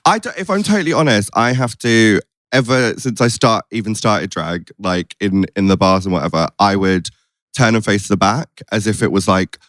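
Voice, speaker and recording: Casting: male podcast host, lowish voice, gushing casual chat, dry close recording. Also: moderate pace, a bit bright, no room sound. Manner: effusive, gushing, frequent "like".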